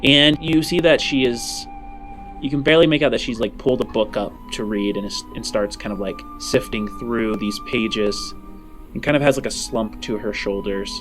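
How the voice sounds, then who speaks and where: A man's voice: mid-range voice, moderate pace. A man running a tabletop roleplaying game as game master, narrating scenes in descriptive storytelling. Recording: music under the voice.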